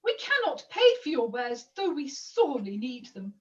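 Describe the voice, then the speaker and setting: A woman's voice: high-pitched, sing-song, moderate pace. A woman playing a poor, wary young maiden in an amateur pantomime, speaking in a plaintive, mock-archaic, theatrical way. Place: at home, indoors. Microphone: video call. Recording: distant, dull home video-call audio.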